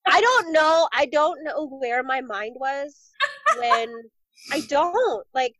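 Excitable high-voiced woman host. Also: slow pace, no room sound, rough recording. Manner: excitable, rambling. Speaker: podcast host. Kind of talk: casual podcast chat.

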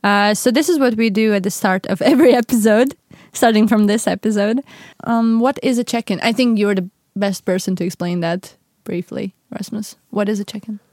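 A woman's voice: mid-range voice, fairly even intonation, moderate pace, hardly any pauses, a little mellow, dry recording. Young woman cohosting a podcast, chatty, laughs a lot, dry close mic. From Latvia. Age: young adult. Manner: chatty, laughs often, restarts sentences. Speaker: podcast co-host. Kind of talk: casual podcast chat.

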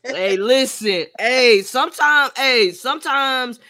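Podcast host guy in his 30s, high voice, easygoing slangy chat, very bright tone. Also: slow. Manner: laid-back slang, warm encouragement. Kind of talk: casual chat.

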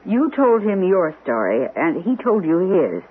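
Wife: animated, questioning, intuitive, vintage radio mystery drama, narrow hissy sound. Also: female voice, fairly low pitch, unhurried. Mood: probing, puzzled. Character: thoughtful, probing wife with suspicious hunches. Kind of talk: old-time radio mystery drama acting. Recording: old radio broadcast, narrow tinny band, hissy.

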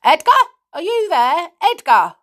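Loud, shrill, scolding villainous mother. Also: big pitch swings, a little slow, full character voice. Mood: calling out loudly. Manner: indignant, accusing, scolding.

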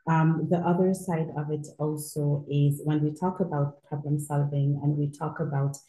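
A woman from Senegal answers interview questions in a low, very mellow voice. She explains things in a measured, steady way, pausing on fillers, and her voice echoes in the room. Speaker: university outreach director, guest.

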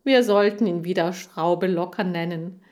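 A woman's voice: lowish voice, a little slow, darkish tone, close to an everyday voice. A woman voicing a grumpy, disdainful male hamster in a children's story, dry and grumbling.

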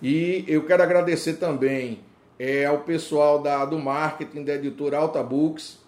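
A man hosting an interview, introducing his guest formally and asking questions in a measured, complimentary way. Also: on the high side, fairly even intonation, darkish tone. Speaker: interview host.

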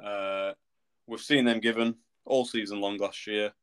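A man's voice: lowish voice, fairly even intonation, measured pace, a bit bright, clean tone. A man chatting casually as a football podcast co-host, with a dry, teasing, mock-indignant way of talking. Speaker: football podcast co-host and Manchester United fan.

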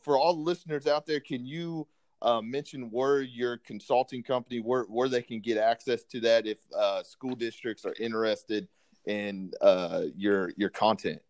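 A man hosting a podcast interview, supportive and hesitant, with many pauses and fillers. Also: mid-range voice, fairly even intonation, darkish tone, no echo. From United States. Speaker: podcast host.

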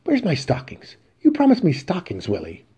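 A man voicing a husky brassy woman. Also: fairly high pitch, wildly swooping pitch, normal speed, dark tone, voice pushed up, heavily acted. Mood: indignant. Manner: pleading, then indignant. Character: flirtatious, brassy adult woman in hotel room. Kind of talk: dramatic play reading.

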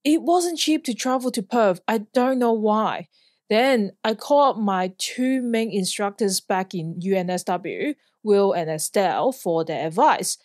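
A woman's voice: mid-range voice, lively intonation, unhurried, darkish tone, dry recording, clean studio sound. A woman in her thirties from Hong Kong, a podcast host telling a personal story in an earnest, plain way. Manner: earnest, plainly worded, occasional light laugh. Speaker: podcast host, flying instructor.

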